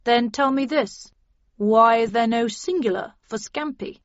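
A woman's voice: a little high, measured pace, dry recording, lo-fi sound, natural voice. A woman voicing a suspicious, nosy uniformed male police officer in an audiobook, blunt and questioning.

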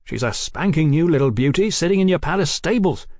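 A man voicing an excitable, boastful young man in an audiobook, speaking in a breezy colloquial way. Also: on the high side, brisk, no room sound, studio quality, voice pushed up. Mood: boastful, excited. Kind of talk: audiobook character acting.

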